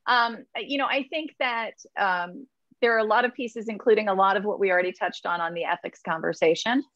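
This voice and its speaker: A woman's voice: mid-range voice, a little fast, a bit bright, muffled recording. A woman, a state representative, talking candidly in a virtual town hall, with lots of fillers. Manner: chatty, many fillers, candid. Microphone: video call.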